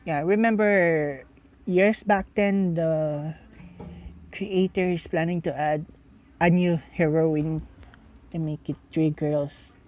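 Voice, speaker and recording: A woman reading a visual novel aloud with casual asides, halting and stumbling over words as she goes. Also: low-pitched, steady tone, a little mellow, lo-fi sound. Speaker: reader and commentator.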